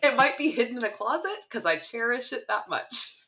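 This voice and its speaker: A woman's voice: fairly high pitch, animated, a little fast, over a noisy crowd. A woman chatting as a podcast guest, bubbly and enthusiastic. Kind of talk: casual group podcast chat.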